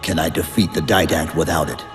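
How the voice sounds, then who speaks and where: A resolute armored male soldier with a very deep voice and few words. He is restrained and deadpan, in a reverberant game cutscene with music. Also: fairly quick. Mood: terse, determined. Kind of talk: dramatic video game cutscene acting. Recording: long reverb, music underneath.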